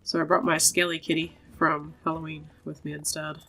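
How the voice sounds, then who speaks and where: A woman's voice: deep voice, level tone, brisk, gravelly, bright, forceful tone, poor audio. A woman doing casual game commentary, chatty and talking to herself as she plays. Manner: chatty, talks to herself and her virtual animals. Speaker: gaming video host. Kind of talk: casual game commentary, reading dialogue aloud. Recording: voice over game music. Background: game music.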